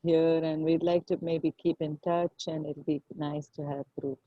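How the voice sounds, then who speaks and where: A woman's voice: deep voice, flat delivery, normal speed, lo-fi sound. A middle-aged woman with an Indian English accent, taking part in an online workshop. She chats in a playful way and laughs often. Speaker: workshop participant. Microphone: video call.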